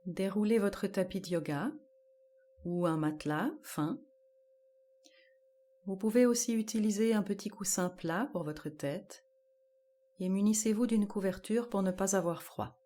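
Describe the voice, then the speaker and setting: A woman guiding a yoga nidra relaxation, giving calm, measured instructions in short, spaced-out phrases. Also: mid-range voice. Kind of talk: guided relaxation meditation.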